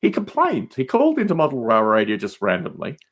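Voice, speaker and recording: A man talks casually as a podcast guest. His pitch sits in a middle register but swings widely, and he leans on words for emphasis. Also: wildly swooping pitch, fast, slightly rough. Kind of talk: casual conversation.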